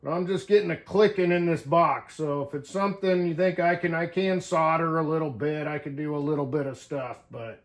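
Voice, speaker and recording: A man's voice: fairly high pitch, fairly even intonation, fairly quick, hardly any pauses. A man talking casually to viewers in a folksy, unscripted way, thinking aloud as he tinkers through a hands-on demonstration. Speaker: hobbyist CB radio vlogger.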